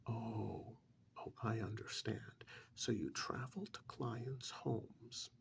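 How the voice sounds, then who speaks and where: A man playing a polite, flustered, earnest tax accountant in a soft-spoken ASMR role-play, hesitant, apologetic and often repeating himself in a whispery voice. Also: fairly low pitch, slow pace, gravelly, slight background noise, full character voice. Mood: polite, attentive.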